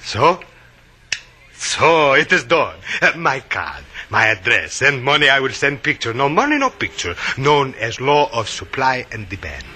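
An actor playing a flirtatious, shifty sidewalk photographer with a Spanish accent and effusive broken English, in 1940s radio style. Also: male voice, medium pitch, wildly swooping pitch, unhurried, bright, forceful tone, husky, exaggerated voice. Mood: businesslike.

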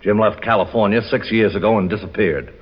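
An actor playing a cornered, scheming killer, defiant and greedy, in a 1940s radio crime drama with a husky voice. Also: male voice, on the low side, a little fast. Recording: dull old radio recording.

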